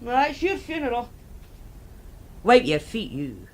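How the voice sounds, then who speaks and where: An actress playing a suspicious, sharp-tongued housekeeper in a radio drama, curt and scornful. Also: female voice, fairly high pitch, wildly swooping pitch, unhurried, noisy background. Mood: surly, suspicious.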